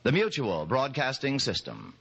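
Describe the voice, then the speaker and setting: A man's voice: medium pitch, huge pitch swings, normal speed, gravelly. A man announcing formally on an old-time radio programme, reading scripted credits with polish. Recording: vintage radio broadcast, prominent music behind. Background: loud music.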